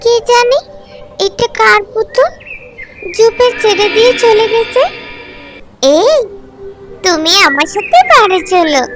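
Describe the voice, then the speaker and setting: Tiny, high-pitched sweet little girl. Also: slow pace, pauses often, loud music behind the voice. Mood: tender, playful. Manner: childlike, plaintive, pleading. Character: sweet, obedient, lonely little motherless girl. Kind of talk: children's fairy-tale cartoon voice acting.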